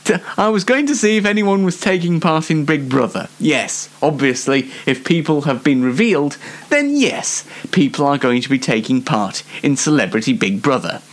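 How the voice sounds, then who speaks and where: A man's voice: fairly high pitch, very animated, normal speed. A twenty-year-old man hosting his own show in a rambling, self-mocking, comedic chat with playful theatrics. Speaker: host of a YouTube show. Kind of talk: chatty comedic monologue.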